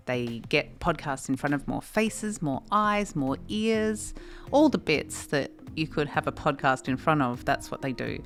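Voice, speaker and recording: Woman, low pitch, bubbly and joking, podcast co-host, faint music behind. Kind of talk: casual podcast chat.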